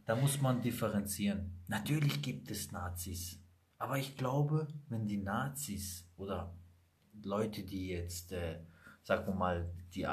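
A man with Italian roots talking bluntly and emphatically in a casual conversation, telling stories from his own life. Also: on the low side. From Italy. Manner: emphatic, blunt, animated storytelling.

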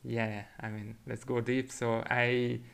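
A man from Hungary with a Hungarian accent, chatting reflectively as a podcast guest and thinking aloud in a halting way. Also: medium pitch, little pitch movement, creaky, clear, resonant tone. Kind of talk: casual reflective chat.

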